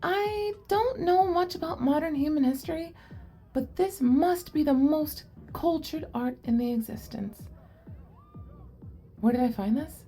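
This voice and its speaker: Woman playing a high-pitched, nerdy young goblin girl. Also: a little slow, faint music behind. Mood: eager, chatty. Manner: earnest, inquisitive, expressive. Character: shy, curious, nerdy young goblin girl, sweet and eager. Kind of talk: ASMR roleplay acting addressed to the listener.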